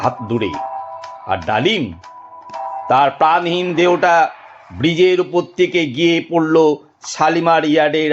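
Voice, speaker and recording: A man with a notably high voice tells a suspense story at a slow, deliberate pace. The sound is slightly dull, with music running behind him. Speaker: narrator. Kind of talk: dramatic first-person audio story narration. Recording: muffled studio narration over music.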